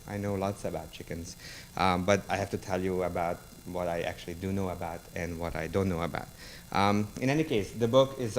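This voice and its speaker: A man's voice: low-pitched, a little hiss or hum. A man with a Hungarian accent, a university lecturer giving a public talk about a book, hesitant and full of ums and restarts. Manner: halting, many ums and restarts.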